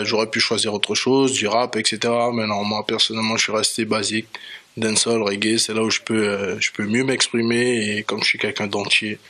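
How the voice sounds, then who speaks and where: A man's voice: lowish voice, pushed and bright. A man from French Guiana, a reggae dancehall artist, talking casually as a guest in a radio studio interview.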